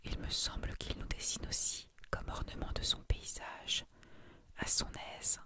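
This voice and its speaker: An ASMR narrator voicing an elegant young fiancé from a storybook in a breathy, lightly wry whisper. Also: a little slow, close to an everyday voice. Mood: wry, unbothered. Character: elegant young Polish fiancé, worldly gentleman.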